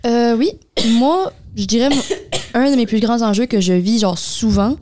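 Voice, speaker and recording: A woman's voice: fairly high pitch, a little slow, a little mellow, a little hiss or hum. A young woman with an Acadian French accent, chatting casually on a podcast and mixing English words into her French. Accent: Acadian French, New Brunswick. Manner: mixes English words into French, many fillers. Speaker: podcast co-host. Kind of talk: casual chat.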